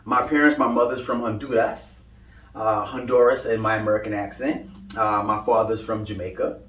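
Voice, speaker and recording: Upbeat Brooklyn man, low voice, roomy. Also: steady tone, moderate pace, fairly bright tone. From Brooklyn, New York. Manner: upbeat, talks in loose run-ons with many "you know" fillers. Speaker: brand founder, interviewee. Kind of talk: casual interview answers. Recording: echoey room, mic at a distance.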